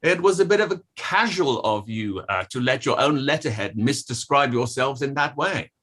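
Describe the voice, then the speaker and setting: A man's voice: medium pitch, lively intonation, moderate pace, a bit bright. A man playing a precise, pressing inquiry barrister, cross-examining formally in a play table read. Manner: probing, formal, hesitant over document references.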